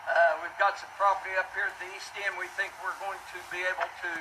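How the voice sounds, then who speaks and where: Male city official, high voice, folksy and halting press remarks, picked up from a distance. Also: flat delivery. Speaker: city official speaking at an announcement. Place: public announcement event. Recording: noisy distant mic, ambient background hum.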